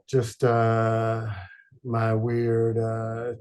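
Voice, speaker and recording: A man from Detroit, a podcast guest, chatting casually in a halting, rambling way with many fillers and deadpan jokes. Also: on the low side, smooth. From Detroit, Michigan. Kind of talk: casual chat.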